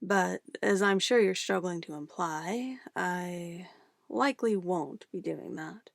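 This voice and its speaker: A woman playing a sweetly menacing, possessive witch in an ASMR roleplay, cooing and coaxing condescendingly in a soft voice. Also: lowish voice, slow pace, gravelly, dry recording, clean studio sound. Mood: smug, calmly menacing. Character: possessive young-sounding witch, sweetly menacing, doting on her familiar.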